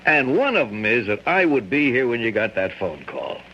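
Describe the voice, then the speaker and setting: A man's voice: mid-range voice, big pitch swings, moderate pace, a little hiss or hum. An actor playing a resourceful, persuasive schemer in a radio drama, insinuating his way along. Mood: bashful, ingratiating. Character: resourceful, smooth-scheming man feigning bashful helpfulness. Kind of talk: old-time radio drama acting. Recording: muffled radio recording.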